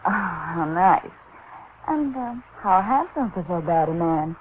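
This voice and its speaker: Lively, coy radio temptress. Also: female voice, lowish voice, slow pace. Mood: teasing, coy. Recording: muffled, faintly noisy old radio recording.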